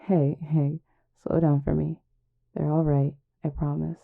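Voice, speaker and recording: Low, gravelly shifter pack leader played by a woman. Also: flat delivery, a little slow, narrow, tinny audio, full character voice. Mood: gentle, reassuring. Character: protective female werewolf pack leader, firm but caring. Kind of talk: audio roleplay acting.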